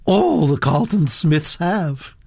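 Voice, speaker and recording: An elderly aristocratic Duchess, pitched high and swooping widely. She is autocratic and scornful, fussing and exclaiming as she hands down her verdicts. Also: animated, slow, vocal fry, a little mellow, slightly rough, no echo, clean studio sound, exaggerated voice. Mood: imperious. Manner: autocratic, exclamatory, fussy hesitations.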